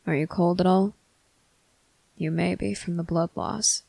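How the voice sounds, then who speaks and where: A performer playing a stern, protective male vampire officer in an ASMR roleplay, speaking deliberately and sometimes hushed, with a commanding manner. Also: mid-range voice, fairly even intonation, vocal fry, no room sound. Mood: stern, caring. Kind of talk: ASMR roleplay addressed to the listener.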